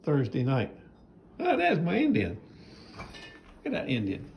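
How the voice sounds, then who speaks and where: Folksy older man with a gravelly voice. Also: a little high, huge pitch swings, measured pace, darkish tone, slight background noise. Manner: rambling, folksy, chatty. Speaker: knife sharpener vlogger. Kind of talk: casual home vlog narration. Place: house and yard, handheld phone filming.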